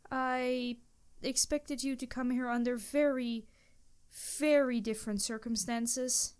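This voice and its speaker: Formal, hesitant vampire noblewoman, played by a woman, highish voice. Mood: embarrassed, remorseful. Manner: formal, old-fashioned wording, hesitant, apologetic. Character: embarrassed, courteous centuries-old female vampire in love. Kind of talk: intimate scripted roleplay addressed to the listener.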